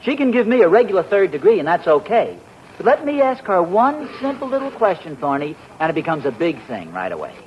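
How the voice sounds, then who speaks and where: A vain, flustered sitcom husband. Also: male voice, high voice, brisk. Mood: aggrieved. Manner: indignant, wheedling, self-flattering. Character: vain, fussy, easily flustered middle-aged husband. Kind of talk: 1940s radio sitcom acting. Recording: dull, faintly hissy old radio broadcast.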